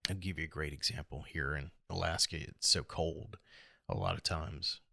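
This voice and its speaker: A middle-aged American man with a hoarse, gravelly voice, talking alone in a reflective, halting and rambling way as a casual podcast host. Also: a bass voice, steady tone, pushed and bright, no room sound, studio quality. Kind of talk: casual solo podcast monologue.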